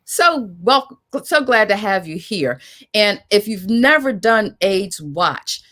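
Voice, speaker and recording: A woman's voice: medium pitch, sing-song, slow, bright, forceful tone. Emphatic woman moderating a panel. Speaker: panel moderator, executive director. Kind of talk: hosting a virtual panel discussion.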